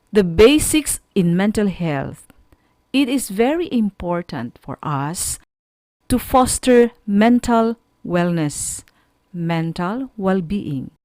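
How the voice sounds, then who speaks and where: A woman with a Filipino accent gives an instructional radio lesson at an unhurried pace. Her pitch rises and falls widely as she carefully explains and questions her students. The sound is close and dry. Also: darkish tone, dry recording. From Philippines. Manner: deliberate, didactic, asks the listener questions. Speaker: radio school teacher.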